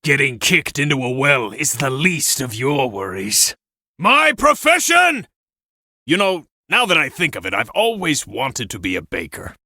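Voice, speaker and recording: An actor playing a bold, boisterous warrior with a playful streak, delivered theatrically as an older video game character. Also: male voice, mid-range voice, big pitch swings, a little slow, sharp tone, no echo, clean studio sound, exaggerated voice. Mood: boastful, forceful.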